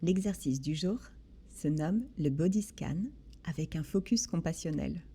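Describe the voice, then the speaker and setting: Woman, low-pitched, very mellow and dark tone, gentle meditation guide, soft delivery, short phrases with pauses. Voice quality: soft, breathy. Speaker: guided relaxation instructor. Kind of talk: guided body scan meditation.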